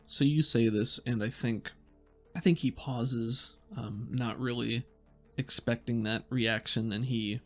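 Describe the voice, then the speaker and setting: A man narrating a tabletop roleplaying game as game master, setting scenes and prompting the player in a measured way. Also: medium pitch, fairly even intonation, faint music behind.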